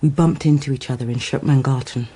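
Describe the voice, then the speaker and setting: An actress playing a soft-spoken, candid woman confiding intimately in a radio drama, with a low, mellow voice. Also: fairly even intonation, fast talker, slightly rough, full character voice. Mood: certain, intimate.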